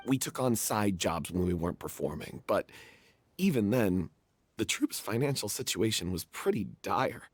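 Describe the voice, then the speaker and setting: An actor playing a young male stage performer confessing a guilty secret, hesitant and then anguished, with a husky voice. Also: fairly low pitch, lively intonation, moderate pace, dry recording. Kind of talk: game voice acting.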